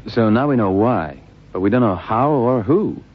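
An actor playing an earnest, plain-spoken detective's sidekick in an old radio crime drama, slangy and matter-of-fact. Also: male voice, on the low side, expressive, normal speed, husky. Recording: dull vintage radio drama recording.

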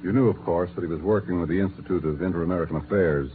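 A sober intelligence chief played by a man in an old radio spy drama. He talks quickly in a low, matter-of-fact voice, on a muffled vintage recording. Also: fairly even intonation, slight background noise, natural voice. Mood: sober, concerned. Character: businesslike male intelligence chief, sober and concerned. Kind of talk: 1950s radio drama acting.

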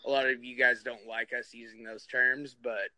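A man's voice: mid-range voice, flat delivery, normal speed, bright, forceful tone, no room sound. A man in his thirties from New Jersey, hosting a casual podcast chat in a loose, profane, laughing way. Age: 30s. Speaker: podcast host.

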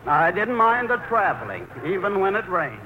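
A muffled, hissy old radio recording of a baffled, timid man in his late thirties. He is hesitant and earnest, stammering nervously, with a lively, swooping voice in a comedy play. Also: high-pitched, moderate pace. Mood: flustered, earnest. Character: baffled, timid 38-year-old mama's boy. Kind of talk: 1940s radio comedy acting.

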